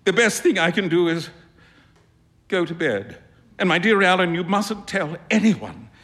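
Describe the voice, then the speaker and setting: A man voicing a sulky, embarrassed young gentleman in a story read on stage. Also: high-pitched, expressive, a little slow, pitched above the performer's own voice. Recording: reverberant live hall.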